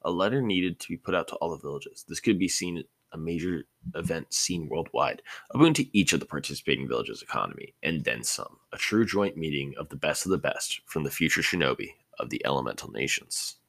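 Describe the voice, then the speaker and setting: A man's voice: very deep voice, lively intonation, a little fast, gravelly, hardly any pauses, a bit bright, no room sound. A man reading a story aloud as scripted narration, stumbling over words now and then and rereading phrases. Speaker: reader of a fan fiction audiobook.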